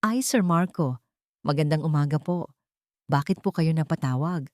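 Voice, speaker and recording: A meek young housemaid, played by a woman, answers with careful respect. She is hesitant and easily flustered, in a gentle, dry-recorded audio drama voice. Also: deep voice, animated, moderate pace, no room sound. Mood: surprised, polite. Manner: deferential, hesitant. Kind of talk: audio drama character dialogue.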